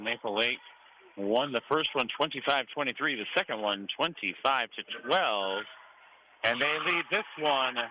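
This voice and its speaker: A man's voice: medium pitch, bright, forceful tone. A man with an American accent giving live radio play-by-play of a volleyball match. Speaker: radio play-by-play sports announcer. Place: school gym during a match. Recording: clearly noisy gym ambience behind voice. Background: gym noise.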